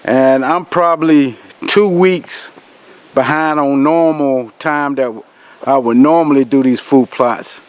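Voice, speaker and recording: A man's voice: fairly high pitch, smooth. Nasal-sounding man outdoors. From Georgia, US. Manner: rambling, folksy, with many fillers. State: nasal from a cold. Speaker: outdoors channel host. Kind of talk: casual vlog talk to camera. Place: outdoors in a rural field. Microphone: camera on a tripod.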